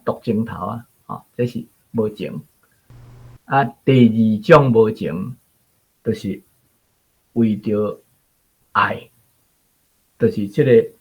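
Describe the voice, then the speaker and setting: A man with a Taiwanese accent giving halting, analytical commentary on literature, point by point as a guest. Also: on the low side, breathy edge, muffled recording.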